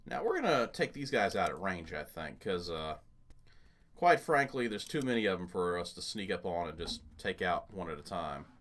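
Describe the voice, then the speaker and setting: Low-voiced, animated man playing games. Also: moderate pace. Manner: jokey running commentary, muttering to himself. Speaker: gaming host. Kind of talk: casual let's play commentary.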